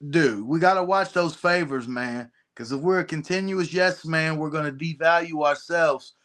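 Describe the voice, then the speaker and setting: An emphatic man with a somewhat higher-pitched voice who keeps agreeing and calls everyone "bro." He sounds like a wrestler talking shop informally on a podcast. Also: normal speed, fairly bright tone. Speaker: guest wrestler. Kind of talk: casual chat.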